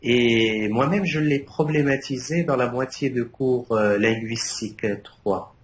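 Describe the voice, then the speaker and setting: A man's voice: mid-range voice, unhurried. A man in his fifties or older, a native Arabic speaker, giving spoken feedback on a master's thesis at a defense, hesitant and full of fillers. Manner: hesitant, many fillers and restarts. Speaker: thesis jury member. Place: university defense room. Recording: echoey room, faint background noise.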